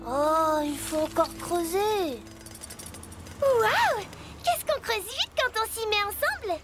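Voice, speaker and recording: A performer voicing an eager, curious little child truck in a children's cartoon, excitable and childlike. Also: very high voice, slow pace, over loud music, exaggerated voice.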